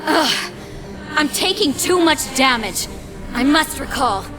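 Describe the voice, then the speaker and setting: An actress playing an earnest, devout crusader warrior in a video game, speaking formally and urgently. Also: female voice, sits high, animated, slow, music in the background. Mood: urgent, strained. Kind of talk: video game cutscene acting.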